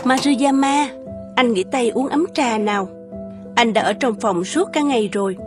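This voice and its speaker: Actress as a gentle, high-voiced young wife. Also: female voice, moderate pace, music in the background, natural voice. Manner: gentle, soothing. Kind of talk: animated fairy-tale dubbing.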